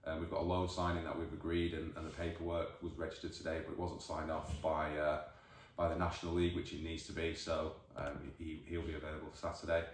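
A man in his forties with a Northern English accent, a football manager giving a measured, thoughtful post-match interview, recorded by a distant camera in an empty changing room. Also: very deep voice, level tone, slight background noise. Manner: measured, thoughtful, many restarts. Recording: echoey room, voice from far off.

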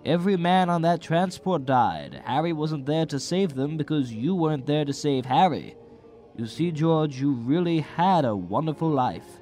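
A man plays a kindly, bumbling angel in a radio play. His highish voice moves freely up and down, earnest and fretful, over faint music. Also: lively intonation, normal speed, warm tone, natural voice. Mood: earnest, grave. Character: kindly, anxious, bumbling male guardian angel. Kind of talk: radio drama acting.

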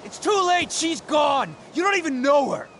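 An actor with an American accent playing a volatile, defensive dog owner in a video game, talking in a gruff, confrontational, foul-mouthed way. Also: male voice, lowish voice, expressive, measured pace, a little hiss or hum. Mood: furious. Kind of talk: dramatic video game voice acting.

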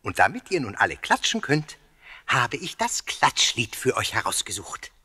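Cheeky husky ghost, wildly swooping. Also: male voice, mid-range voice, wildly swooping pitch, moderate pace, pushed and bright, heavily acted. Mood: eager, playful. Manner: exuberant, swooping, self-important. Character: cheeky, boastful, playful little ghost. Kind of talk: children's audio play acting.

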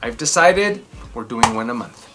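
A man in his thirties hosting a children's read-aloud, telling stories and chatting in a theatrical, emphatic way with drawn-out words. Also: mid-range voice, animated, over music. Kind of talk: expressive storytelling and chatty commentary for children. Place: small furnished room indoors.

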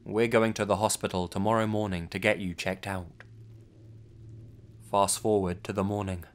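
A man's voice: lowish voice, level tone, moderate pace. A man narrating a true scary story in the first person, with tense, dramatic storytelling.

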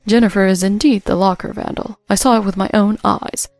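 A woman's voice: medium pitch, steady tone, fairly quick, gravelly, dry recording, faint music behind, natural voice. A woman voicing a stern, commanding older woman who speaks with firm authority in a story.

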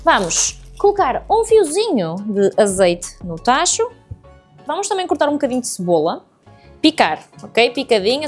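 A young woman with a European Portuguese accent, chatting casually to viewers as she cooks in her home kitchen. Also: a little high. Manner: chatty, addresses viewers directly while cooking. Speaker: cooking vlogger. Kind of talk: casual cooking tutorial.

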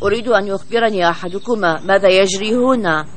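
A man plays a friendly young male cartoon bird with a high voice. He is puzzled yet caring, exclaims expressively and speaks clearly over faint background noise. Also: fast, close to an everyday voice. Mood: puzzled, worried. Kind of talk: Arabic children's cartoon dubbing.

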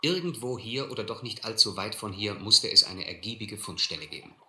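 A man acting a shrewd, adventurous seafarer in a radio drama, deliberate and controlled with a touch of irony. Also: on the low side, animated, close to an everyday voice. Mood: reflective, suspenseful. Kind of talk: radio drama acting, first-person narration and dialogue. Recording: roomy, slightly noisy old radio-play recording.

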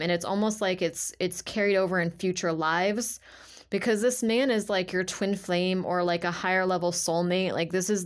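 A woman with a slightly low voice gives an informal tarot reading as a monologue. She talks in a halting, emphatic way, repeating phrases as she feels her way through and leaning often on the word "like". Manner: halting, repetitive, emphatic, filler-heavy with "like". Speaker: tarot reader, channeler.

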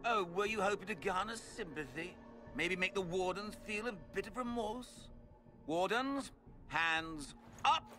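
A villainous noble mage from a video game. His voice is on the high side, drawling and pompous, full of smug mockery. Music plays clearly underneath. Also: expressive, unhurried, bright, forceful tone, heavily acted. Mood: mocking, gloating. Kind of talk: dramatic game villain acting.

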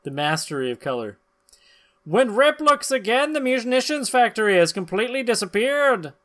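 A man's voice: very high-pitched, big pitch swings, moderate pace, a bit bright. A middle-aged man co-hosting a podcast, reading comic captions dramatically and bantering casually. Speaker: podcast co-host, narrator.

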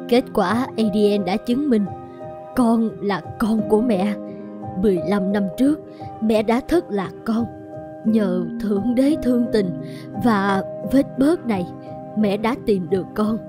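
A woman voicing a wealthy, kindly middle-aged lady in an animated moral tale, speaking politely and deliberately. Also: on the high side, natural voice. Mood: solemn.

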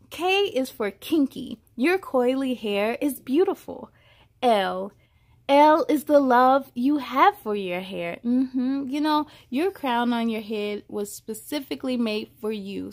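Female story-time host, higher-pitched, unhurried delivery, encouraging read-aloud for kids. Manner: encouraging, affirming, addresses young listeners directly. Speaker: host reading aloud for children. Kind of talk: children's picture book read-aloud with casual comments.

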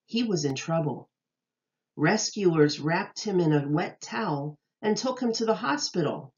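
A woman teacher reading a children's nonfiction book aloud, enunciating carefully and deliberately for young listeners. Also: fairly low pitch, expressive, muffled recording.

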